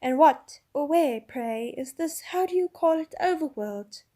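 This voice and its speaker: A woman voicing an enchanting witch queen who speaks softly, slowly and coaxingly. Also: high voice, animated, voice pushed up. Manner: soft, slow, lulling.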